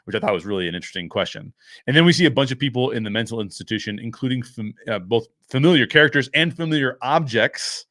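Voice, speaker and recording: A man's voice: medium pitch, animated, fast talker, fairly bright tone, dry recording, clean studio sound. A man giving casual solo commentary as a show host, often thinking aloud to his viewers. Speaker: host of a pop culture review show.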